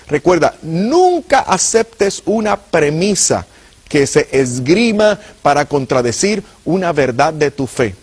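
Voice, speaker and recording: Husky Puerto Rican preacher man. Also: a little high. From Puerto Rico. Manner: earnest, addresses viewers directly. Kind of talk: apologetics teaching.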